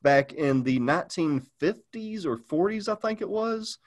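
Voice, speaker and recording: A man's voice: sits high, expressive, unhurried. A man chatting casually on a podcast, rambling with lots of fillers and restarts. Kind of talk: casual chat.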